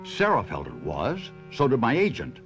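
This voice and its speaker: An actor playing a troubled, sentimental stage ventriloquist in a theatrical, brooding 1970s radio mystery drama style. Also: male voice, mid-range voice, huge pitch swings, moderate pace, fairly bright tone, lo-fi sound, soft background music. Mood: sombre narrating.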